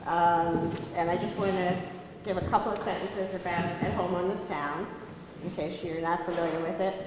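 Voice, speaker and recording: Woman host doing intros, lots of ums, lowish voice, super echoey room. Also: fairly even intonation, normal speed. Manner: hesitant, many ums and uhs. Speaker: program host introducing a speaker. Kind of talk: introductory remarks. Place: lecture room with audience. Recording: very echoey room, faint noise.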